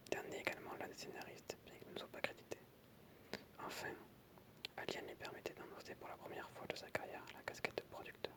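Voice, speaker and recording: An ASMR creator whispering in French, reading aloud softly with informal, hesitant asides.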